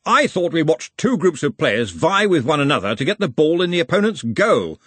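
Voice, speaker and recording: A man's voice: fairly high pitch, sing-song, normal speed, a bit bright, husky, no echo, voice pushed up, full character voice. Guy doing a posh-worded exasperated gent for an audiobook, precise, very up and down. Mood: incredulous. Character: incredulous, exasperated, educated gentleman.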